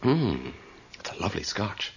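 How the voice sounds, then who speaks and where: Low-voiced, uneasy young man. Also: very animated, normal speed, creaky, husky, heavily acted. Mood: polite, awkward. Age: young adult. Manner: hesitant, apologetic, inquisitive. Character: brash broke young man, polite but uneasy. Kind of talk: radio drama acting. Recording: dull lo-fi radio recording.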